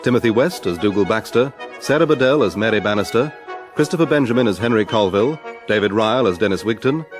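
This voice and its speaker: A man's voice: fairly low pitch, expressive, fast. A man with a British accent reading formal scripted credits as a radio drama announcer. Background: orchestral music.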